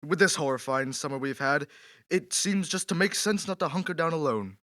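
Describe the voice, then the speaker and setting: A man's voice: a little high, brisk, sharp tone, no echo, clean studio sound. A man acting a pompous, temperamental aristocratic poet in a period radio drama, theatrical and condescending. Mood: grand, welcoming.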